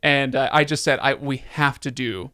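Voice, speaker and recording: A man's voice: mid-range voice, steady tone, moderate pace, bright, forceful tone, clean tone, no echo, studio quality. A man hosting a casual, conversational podcast discussion with friends. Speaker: podcast host. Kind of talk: casual panel chat.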